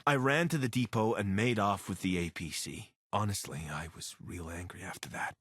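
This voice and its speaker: An actor playing an anxious, wry deserter soldier and pilot in a video game, speaking in a conversational, earnest way. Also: male voice, deep voice, normal speed, fairly bright tone, breathy edge, dry recording, studio quality. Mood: earnest, regretful. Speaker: voice actor for a companion character. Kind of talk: video game character dialogue.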